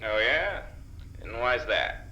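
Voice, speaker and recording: A man playing a plain-spoken working-class security guard in a naturalistic audio drama, terse, hesitant and dry, reluctantly soft-hearted. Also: mid-range voice, animated, slow pace, reverberant, muffled recording, slight background noise, exaggerated voice. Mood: wry.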